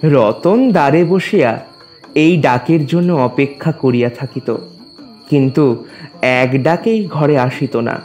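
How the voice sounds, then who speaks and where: A man narrating a literary story as a scripted reading, in a formal, measured storytelling manner. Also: mid-range voice, a little mellow, soft background music.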